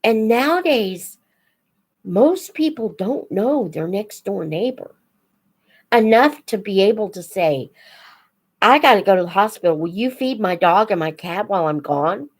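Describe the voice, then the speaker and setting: An older woman chatting casually as a live host for young viewers, in an unhurried, reflective, folksy manner. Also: medium pitch, animated. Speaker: host of a children's book read-aloud channel.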